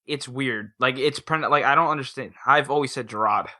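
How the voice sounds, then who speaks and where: A man's voice: medium pitch, fairly quick, sharp tone. A man chatting casually as a co-host on a podcast. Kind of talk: casual chat.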